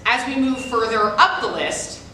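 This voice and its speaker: A woman's voice: expressive, normal speed, pushed and bright. Theatrical woman narrator in an echoey hall. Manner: theatrical, declamatory. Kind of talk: scripted reader's theater narration. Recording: echoey hall, distant mic, faint rumble.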